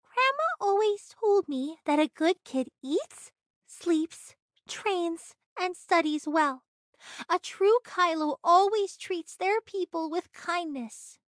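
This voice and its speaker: A woman's voice: very high voice, animated, takes it slowly, no room sound, heavily acted. A woman voicing a plucky, earnest and determined child pirate captain in an anime game. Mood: earnest, resolute.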